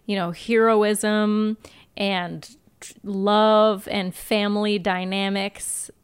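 A woman talks casually as a podcast co-host. Her voice is smooth and clear, sitting at an average pitch. She jokes in a dry, wry way. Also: flat delivery, takes it slowly. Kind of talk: casual chat.

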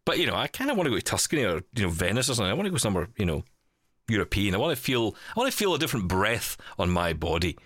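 Deep-voiced man chatting, animated and wry. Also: very fast, no echo, studio quality. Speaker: podcast host. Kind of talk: casual chat, live unboxing of a gadget.

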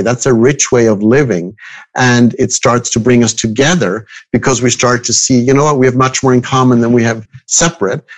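A man in his fifties from Switzerland speaking as an expert guest in an interview, reflective and halting, repeating phrases for emphasis. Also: medium pitch, rough recording. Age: 50s. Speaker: guest expert, astrologer and coach. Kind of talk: interview conversation.

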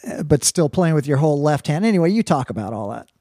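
A man's voice: on the high side, sing-song, fast talker, mellow, dry recording, studio quality. A man hosting a music interview, chummy and stumbling, with many fillers and restarts as he sets up questions. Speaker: podcast host. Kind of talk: casual interview chat.

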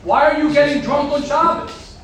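A man teaching a group of young men, talking forcefully and repeating his points for emphasis. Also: extremely high pitch, normal speed. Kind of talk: emphatic religious talk to a group. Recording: very roomy echo with faint background hum.

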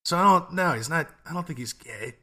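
A young man co-hosting a podcast, giving relaxed, joking advice with plenty of swearing and laughter. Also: a little high, brisk, slightly rough. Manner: laid-back, profane, laughs often. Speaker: podcast co-host. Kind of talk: casual advice chat.

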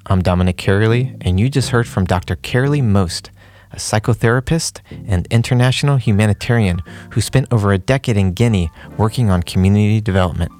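A man hosting a podcast, reading a scripted introduction in a measured, explanatory way. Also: lowish voice, darkish tone, faint music behind. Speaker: podcast host. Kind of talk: scripted narration.

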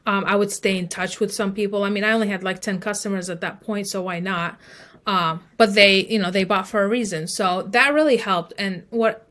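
A woman with a medium-pitched voice talks as a guest expert in an interview. She explains things informally from her own experience, leaning on conversational filler phrases as she goes. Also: a bit bright. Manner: informal, explanatory, frequent "like" and "you know". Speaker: podcast guest, email marketing expert. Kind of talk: conversational interview, telling own business story.

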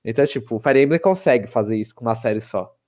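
A man's voice: mid-range voice, fast, a little mellow. Rambling man chatting about games. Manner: rambling, many restarts and fillers. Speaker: podcast co-host. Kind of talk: casual chat about video games.

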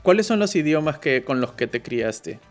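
A man interviewing a guest in a relaxed chat, hesitant and full of fillers and restarts. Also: a little high, normal speed, faint music behind. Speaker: interviewer and show moderator.

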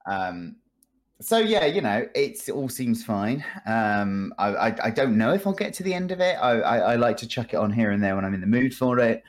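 A man's voice: medium pitch, lively intonation, normal speed. A man chatting casually on a video game podcast in a wry, sardonic, jokey way. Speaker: podcast guest discussing video games.

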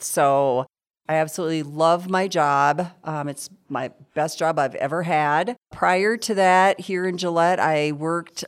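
A woman's voice: deep voice, smooth. A woman in her sixties from South Dakota, a city council member answering interview questions in a friendly, chatty way. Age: 60s. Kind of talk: conversational interview.

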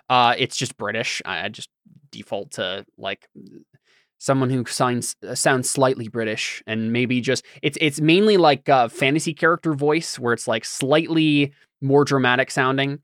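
A man hosting a casual podcast chat, speaking in a hesitant, halting way as he thinks aloud. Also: mid-range voice, fairly bright tone, no echo, studio quality. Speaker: podcast host and game master.

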